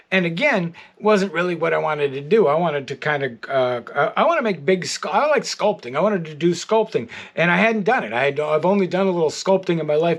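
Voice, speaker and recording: A middle-aged American man giving a casual, rambling video update, halting often and repeating his words. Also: fairly high pitch. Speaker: artist and toy maker. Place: art studio, indoors.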